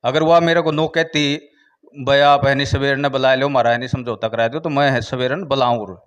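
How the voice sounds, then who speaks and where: Clear-voiced Haryanvi man, halting and repetitive. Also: mid-range voice, fairly even intonation, a bit bright, muffled recording. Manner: halting, repetitive, peppered with filler phrases. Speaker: village head (sarpanch) being interviewed. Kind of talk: informal interview account.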